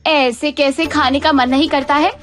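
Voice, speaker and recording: A woman voicing a scornful, nagging young daughter-in-law in a cartoon, sarcastic and sharp-tongued. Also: sits high, fairly even intonation, moderate pace, faint music behind. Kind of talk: Hindi moral-story cartoon dubbing.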